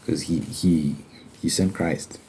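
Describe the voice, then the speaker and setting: A man with a very low, dark, relaxed voice speaks in an earnest ASMR manner, like an informal religious talk. He is conversational and leans on repeated phrases for emphasis. Light noise and clicks sit behind him. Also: slow, poor audio. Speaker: ASMR Bible study host.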